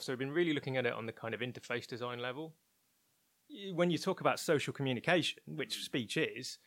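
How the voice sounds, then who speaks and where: A man's voice: mid-range voice, fairly bright tone, no room sound. A man giving a conversational interview, thoughtful and hedging, often restarting his phrases. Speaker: interviewed research and development head.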